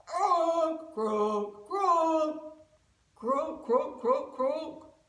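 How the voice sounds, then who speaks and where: A woman voicing a tiny male storybook frog with loud, repeated croaks. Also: sits high, animated, very slow, voice pushed up, heavily acted. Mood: bold. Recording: roomy, from a distant mic.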